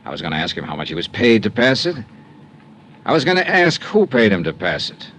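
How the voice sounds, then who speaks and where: An actor playing a tough, wry insurance investigator in a 1950s radio drama, clipped and probing. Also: male voice, lowish voice, wildly swooping pitch, a little fast, fairly bright tone, slight background noise. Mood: dry, defiant. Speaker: lead actor and narrator.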